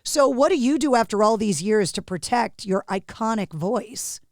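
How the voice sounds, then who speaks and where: Raspy-voiced woman podcast host. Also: on the high side, lively intonation, normal speed, darkish tone, no room sound, studio quality. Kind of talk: casual interview chat.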